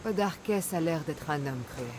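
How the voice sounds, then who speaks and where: A woman's voice: sits low, lively intonation, normal speed, background noise. A woman playing a tough, dry-witted young Greek mercenary in a video game, curt and sardonic. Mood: terse.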